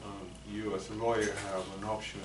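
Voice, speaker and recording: A man in his sixties, a native Spanish speaker with a Spanish accent, lecturing lawyers as a psychologist in a soft, hesitant way with many fillers. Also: fairly low pitch, level tone, a little fast. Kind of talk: continuing-education lecture. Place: meeting room. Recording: echoey room, mic far off, noisy.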